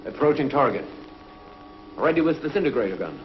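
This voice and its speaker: An actor playing an alert space patrol officer with clipped military delivery in a 1950s television adventure. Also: male voice, mid-range voice, sing-song, fairly quick, faint music behind, natural voice. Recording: muffled, noisy 1950s TV soundtrack.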